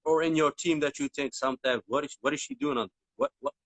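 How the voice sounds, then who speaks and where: A man's voice: a little high, fast talker, a bit bright, dry recording. A man, a Berber speaker, interviewing casually and rambling with lots of repeated words. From Netherlands.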